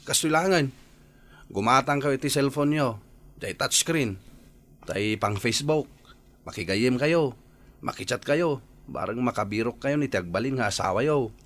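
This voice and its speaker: An actor playing an earnest young nephew in a radio drama, with a conversational, coaxing way of talking. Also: male voice, mid-range voice, a little slow, long pauses, fairly bright tone, lightly acted. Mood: exasperated. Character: earnest young nephew nudging his shy uncle. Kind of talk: radio drama acting in Ilocano.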